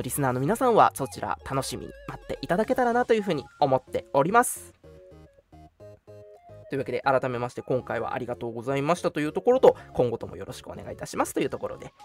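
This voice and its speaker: Courteous young male radio host over music. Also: fairly high pitch. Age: young adult. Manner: courteous, earnest, conversational. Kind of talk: polite online radio announcements.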